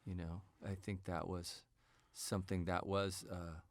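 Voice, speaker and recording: A man with a lowish voice takes part in a casual podcast conversation. He speaks informally in loose, wandering phrases, recorded dry with hardly any room sound. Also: flat delivery, measured pace, no echo. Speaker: podcast discussion participant.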